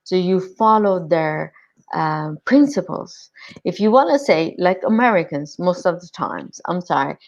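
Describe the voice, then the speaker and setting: A woman's voice: on the low side, expressive, measured pace, a little mellow, muffled recording. A woman from Iran, speaking emphatically in short, repeated statements as a panelist giving her opinion. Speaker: podcast panelist.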